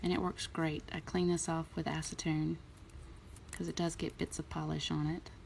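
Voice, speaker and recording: Low, gravelly-voiced woman giving nail tips. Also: moderate pace, slight background noise. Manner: relaxed, chatty, many ums. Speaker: nail art vlogger. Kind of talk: casual tutorial talk.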